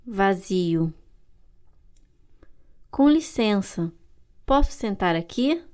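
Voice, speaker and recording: A woman with a Brazilian Portuguese accent reading vocabulary and dialogue lines for a language course, clear and carefully articulated. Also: mid-range voice, expressive, very slow, a little mellow. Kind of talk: slow scripted language-lesson reading.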